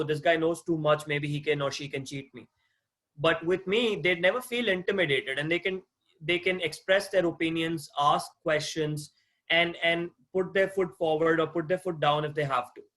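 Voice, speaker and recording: A man's voice: on the high side, fairly bright tone. A man in his thirties with a non-native English accent, lecturing a class over a video call, talking conversationally, asking questions and restarting phrases. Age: 30s. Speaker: guest lecturer, mortgage agent.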